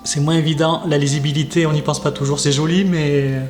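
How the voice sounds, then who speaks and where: A man's voice: fairly high pitch, flat delivery, brisk, a little mellow. A man co-presenting an unboxing video, commenting on the items in casual chat. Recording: roomy echo, music behind. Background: background music.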